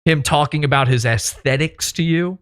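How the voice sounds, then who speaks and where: A man's voice: on the high side, normal speed, fairly bright tone, clean tone. A man hosting a podcast interview, sarcastic and mocking as he reads out comments and messages. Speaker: podcast host.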